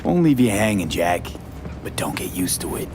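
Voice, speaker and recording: A man's voice: low-pitched, big pitch swings, moderate pace. A male actor playing a dry, weary young mercenary in a video game, terse and matter-of-fact. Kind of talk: cinematic video game acting. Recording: music behind the voice.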